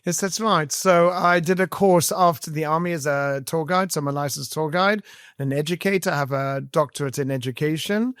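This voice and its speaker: A man's voice: on the high side, fairly bright tone, dry recording. 50s guy, english-south african accent, earnest storyteller, dry close sound. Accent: mixed English and South African. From South Africa and England. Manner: vivid, earnest storytelling with many fillers. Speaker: guest, army medic and educator. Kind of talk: storytelling interview answers.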